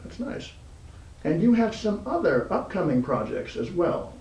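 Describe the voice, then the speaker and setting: A man hosts a casual interview in a halting, informal manner. His voice is slightly high, dark in tone and creaky. The recording is distant and roomy, with faint noise behind him. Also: very animated. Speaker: interview host. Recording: echoey, distant mic, background room noise.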